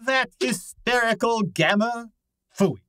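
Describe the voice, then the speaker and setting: A man's voice: very high voice, slow, fairly bright tone, no room sound, clean studio sound, full character voice. A man playing a large, pompous, irritable genius detective in an old time radio style drama, speaking formally and with exasperation. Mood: haughty, irritable. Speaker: actor in an audio detective drama.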